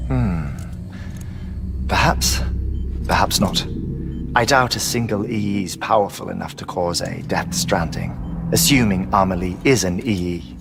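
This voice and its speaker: An actor playing an earnest, eccentric male scientist who lectures in measured, deliberate phrases in a video game cutscene. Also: deep voice, gravelly, background noise. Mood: cautious, pondering.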